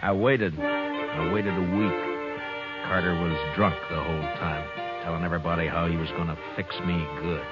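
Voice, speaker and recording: Casting: male actor as weary American frontier marshal, deep bass, understated old-radio western delivery, dull recording. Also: fairly even intonation, normal speed, lightly acted. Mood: grim narration. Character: weary, gruff frontier marshal of Dodge, adult man. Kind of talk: 1950s radio western acting with first-person narration. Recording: muffled old radio broadcast, music behind.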